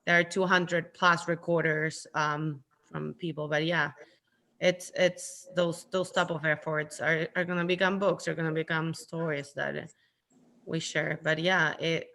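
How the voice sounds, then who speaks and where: A woman from Chile leading an online book club discussion, thoughtful and halting, with many fillers. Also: low-pitched, flat delivery, vocal fry, sharp tone. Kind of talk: casual online group discussion. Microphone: video call.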